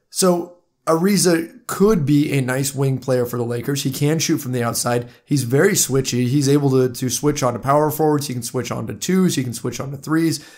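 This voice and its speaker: Hoarse man hosting a sports podcast. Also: on the high side. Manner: conversational, talks straight to listeners. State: hoarse from a cold. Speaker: podcast host. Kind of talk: solo sports podcast monologue.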